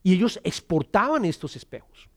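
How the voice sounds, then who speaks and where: A middle-aged man from Colombia, preaching an earnest sermon, often repeating words as he starts and dropping to a soft hush on scripture. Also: high voice, big pitch swings, normal speed, no echo, studio quality. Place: church.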